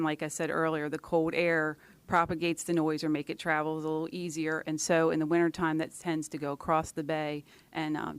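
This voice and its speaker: A woman with a smooth, clean voice gives explanatory answers in an interview. She is matter-of-fact and keeps her phrases short, and the sound is close and dry. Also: deep voice, no echo. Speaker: environmental scientist, interviewee.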